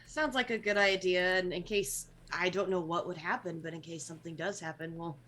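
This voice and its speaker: A woman's voice: on the low side, steady tone, brisk, fairly bright tone. An easygoing woman at a tabletop game, joking about her character's appetite, with a faint hum of noise behind her. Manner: easygoing, joking, laughs often. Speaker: player. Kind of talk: casual chat.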